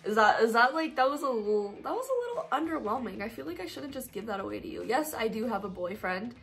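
A woman's voice: on the high side, big pitch swings, fast talker, creaky, fairly bright tone, soft background music. A teenage girl from Colorado answering her viewers' questions in a casual, upbeat, rambling way, with plenty of fillers. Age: teens. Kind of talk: casual Q&A vlog.

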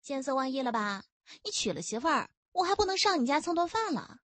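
Young policewoman, played by a female narrator: cheeky, boastful, high and animated, for a historical audiobook. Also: brisk, no echo. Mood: mock indignant. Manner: teasing, lively. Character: young female constable, cheeky, playful, boastful and quick-tongued.

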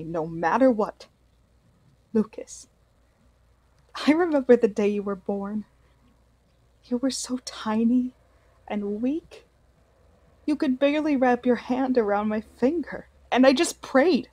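Radio play mother, played by a woman: devout, loving, earnest, higher-pitched, slow and deliberate. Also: darkish tone, no room sound. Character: devout, loving, remorseful mother of a grown son.

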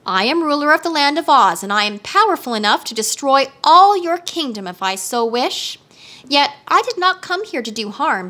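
A woman voicing a gracious, quietly commanding girl queen in a storybook, with a composed, regal manner. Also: fairly high pitch.

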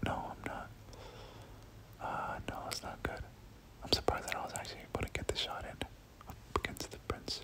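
A gaming creator giving ASMR game commentary in a breathy whisper, often repeating words in soft rhythmic runs. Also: moderate pace, rough recording.

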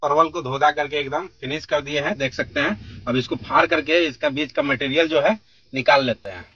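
A man's voice: fairly high pitch, a little fast, pushed and bright, breathy edge, dry recording, lo-fi sound. A man from India narrating a casual trucking and cooking vlog step by step, addressing viewers as friends. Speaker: truck driver vlogger.

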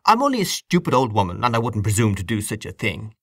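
A man's voice: a little high, wildly swooping pitch, normal speed, fairly bright tone, dry recording, studio quality, voice pushed up. A man reading an audiobook, voicing a fiery, indignant elderly aunt who scolds emphatically and insistently. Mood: hasty, insistent. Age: older. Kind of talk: acted audiobook dialogue.

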